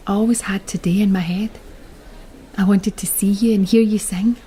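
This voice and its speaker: A woman playing an apologetic, tentative estranged mother, hushed and hesitant, in a naturalistic drama. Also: mid-range voice, fairly even intonation, moderate pace, slight background noise, lightly acted. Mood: hurt, tender. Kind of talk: naturalistic screen drama acting.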